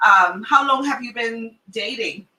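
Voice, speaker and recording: Trinidadian woman host, chatty and teasing, bright tone, echoey off-mic sound. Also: a little high, a little slow, distant mic. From Trinidad and Tobago. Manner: chatty, teasing, laughs often. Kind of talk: casual panel talk.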